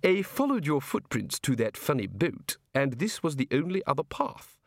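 A man's voice: fairly high pitch, very animated, moderate pace, no room sound, clean studio sound. Prim housekeeper lady, voiced by a man. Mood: stiff. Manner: prim, careful, stiffly polite. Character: prim, proper middle-aged housekeeper woman.

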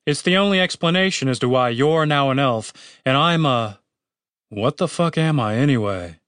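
A man's voice: medium pitch, animated, moderate pace, fairly bright tone, smooth, no echo, studio quality, natural voice. A man narrator voicing a panicky, exasperated young man who has become a fantasy bard, whiny and sweary in an audiobook. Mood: baffled.

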